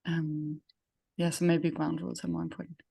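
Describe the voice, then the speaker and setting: A woman's voice: low-pitched, little pitch movement, moderate pace, creaky, dark tone, slightly rough. A woman with a German accent, a native German speaker, chatting reflectively as an interview host and searching for her words. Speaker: podcast host and facilitator. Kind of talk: conversational interview chat.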